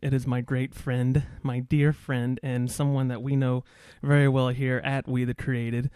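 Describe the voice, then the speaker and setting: A man's voice: medium pitch, flat delivery, unhurried, darkish tone, smooth, no room sound. A man hosting a podcast interview in a conversational, effusive way, recorded in a converted garage studio. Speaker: podcast host.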